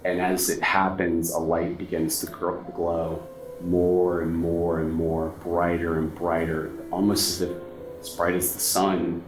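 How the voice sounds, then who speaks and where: A man's voice: sits low, flat delivery, measured pace, music in the background. A man acting as game master, narrating a tabletop horror game in an informal, descriptive way. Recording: roomy echo, background noise.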